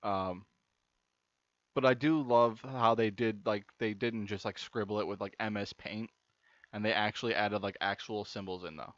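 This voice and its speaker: A man's voice: lowish voice, fairly even intonation, moderate pace, fairly bright tone, no echo. Male gaming host, young adult, chatty and loose, close dry sound with a slightly muffled, lo-fi edge. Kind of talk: casual let's-play commentary. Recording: dry, slightly dull lo-fi mic.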